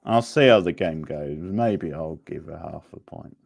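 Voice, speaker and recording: A man's voice: extremely low pitch, unhurried, vocal fry, darkish tone. A man hosting a quiz in a casual, laid-back, chatty manner. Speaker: quiz host. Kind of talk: casual live quiz chat.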